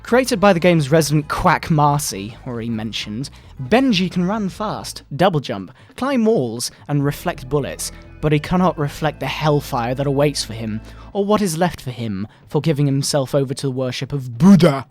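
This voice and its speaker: Man putting on a pompous, high-pitched fire-and-brimstone moral crusader. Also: lively intonation, a little mellow, faint music behind, close to an everyday voice. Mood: scornful, righteous. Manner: pompous, melodramatic preaching with drawn-out words. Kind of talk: mock-dramatic satirical reading.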